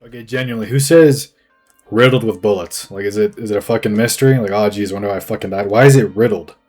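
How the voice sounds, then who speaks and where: Crass dead cartoon fish, male actor: strained throat, sarcastic, halting rambles, swearing, music behind. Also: on the low side, darkish tone, natural voice. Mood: bemused rambling. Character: crass, rambling recently deceased fish, foul-mouthed and sarcastic. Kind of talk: loose improvised comic character acting. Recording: music under the voice.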